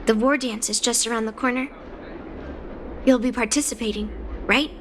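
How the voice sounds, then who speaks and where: A woman's voice: fairly high pitch, steady tone, moderate pace, background noise, natural voice. A woman voicing a blunt, sword-loving young girl swordmaster in a game, speaking plainly and directly. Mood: serious, assured. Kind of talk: scripted game dialogue acting.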